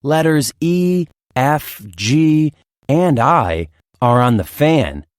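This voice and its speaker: A woman reads a children's story as narrator. She goes slowly with very lively intonation, and the sound is dry and close. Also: sits low, clear, resonant tone, dry recording. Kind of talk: expressive children's read-aloud narration.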